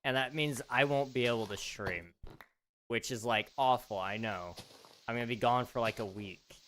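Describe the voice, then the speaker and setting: A man's voice: medium pitch, normal speed, fairly bright tone, a little hiss or hum, poor audio. A man hosting a casual gaming livestream, rambling and chatty with lots of fillers, his voice slightly strained as he starts to lose it. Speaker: livestream host.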